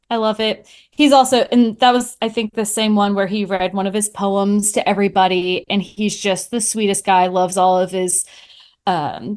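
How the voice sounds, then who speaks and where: A woman podcaster talking casually as a guest, telling stories in an animated way and acting out little quotes. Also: medium pitch. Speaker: podcaster answering questions as a guest. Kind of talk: casual chat.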